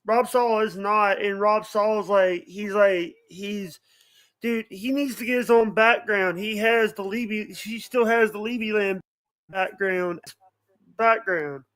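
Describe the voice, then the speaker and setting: A man's voice: high-pitched, fairly even intonation, unhurried, fairly bright tone. A man chatting casually as a podcast guest, hesitant and apologetic, often repeating his phrases. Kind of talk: casual chat.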